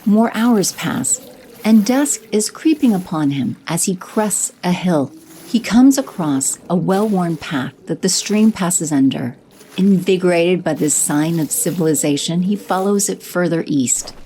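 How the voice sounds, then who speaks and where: A woman giving steady scripted narration for an audio drama. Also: on the low side, unhurried, a little hiss or hum, low-quality recording. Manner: steady, descriptive.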